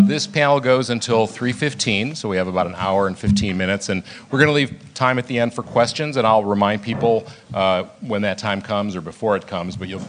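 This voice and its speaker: Low-voiced man moderating a panel. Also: slight background noise. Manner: relaxed, with frequent "uh" fillers. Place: festival event room.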